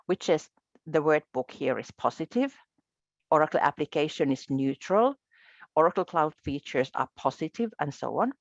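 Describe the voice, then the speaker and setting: A woman from Finland, a Finnish native speaker, explains software features step by step in a low voice. Her delivery is methodical, with small restarts as she points things out. The sound is dry and a little dull, like a webcast recording. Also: gravelly, dry recording. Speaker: presenter. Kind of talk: software demo walkthrough.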